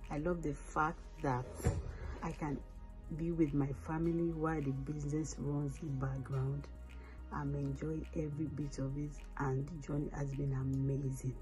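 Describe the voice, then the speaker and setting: A woman's voice: low-pitched, dark tone, low-quality recording. A woman from Nigeria giving an upbeat, enthusiastic personal testimonial. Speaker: online business owner.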